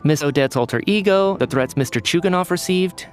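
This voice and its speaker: A man's voice: fairly high pitch, a little fast, close to an everyday voice. A man playing a curious, analytical young scientist in a video game, speaking articulately. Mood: pondering. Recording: background music.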